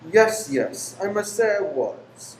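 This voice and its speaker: A man's voice: high voice, big pitch swings, takes it slowly, full character voice. A man playing a stern, pious, secretive lodger in a radio play, speaking in formal, old-fashioned phrases. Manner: formal, archaic, preachy phrasing. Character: stern, pious, secretive male lodger preaching against pleasure. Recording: echoey room with faint noise.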